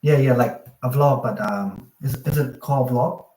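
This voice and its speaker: A man chats easily on a video call, filling his talk with "like" and "you know". His voice sits at a middling pitch. It echoes a little in the room through a phone mic. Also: normal speed. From Thailand. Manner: relaxed, chatty, many fillers like "like" and "you know". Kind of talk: casual video call chat. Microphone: phone on a video call, no external mic. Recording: roomy video call audio.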